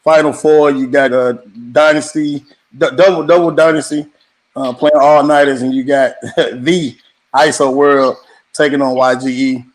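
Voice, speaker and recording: A man's voice: medium pitch, steady tone, normal speed. A man appearing as a guest on a casual talk show, laid-back and teasing. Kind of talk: casual chat.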